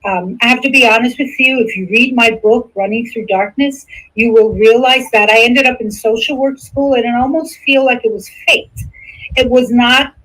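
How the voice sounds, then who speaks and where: A woman's voice: a little high, fairly even intonation, moderate pace, fairly bright tone, poor audio. A middle-aged woman from the Dominican Republic, a psychotherapist, answering interview questions in an earnest, reflective way. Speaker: guest, psychotherapist.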